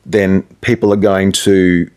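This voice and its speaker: Low-pitched man, casual radio talker. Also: little pitch movement, takes it slowly, darkish tone, clean tone. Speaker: radio presenter and DJ, interview guest. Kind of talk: casual interview chat, giving advice.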